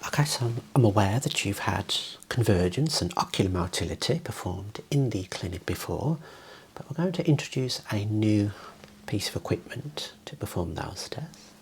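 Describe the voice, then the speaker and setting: A man whispering slow, gentle instructions in an ASMR medical exam role play, softly praising between steps. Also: fairly low pitch, lively intonation, slight background noise. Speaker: ASMR creator playing a clinician.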